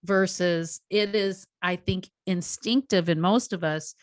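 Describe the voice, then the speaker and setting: A woman with a fairly low voice reflects aloud in a thoughtful, rambling manner, circling back through her own sentences. Her voice sounds dry and close, with no room echo. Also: steady tone, a little slow. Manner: thoughtful, rambling, many fillers like "you know". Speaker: teacher and podcast co-host. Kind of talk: reflective casual chat.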